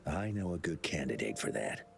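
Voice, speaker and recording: Knowing, helpful young man, animated video game delivery, faint music behind. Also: rumbling low, moderate pace, vocal fry, full character voice. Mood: knowing, teasing.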